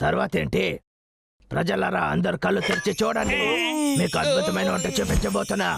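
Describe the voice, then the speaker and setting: A man voicing a boastful, bossy big-brother bear in a cartoon dub, showing off like a gravelly star chef. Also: sits high, steady tone, fairly quick. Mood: showy, boastful. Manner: showy, bragging. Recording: loud music behind the voice.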